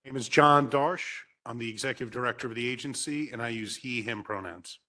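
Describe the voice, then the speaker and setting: A man introducing himself briefly and crisply as an agency's executive director. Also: medium pitch, fairly quick, bright, forceful tone.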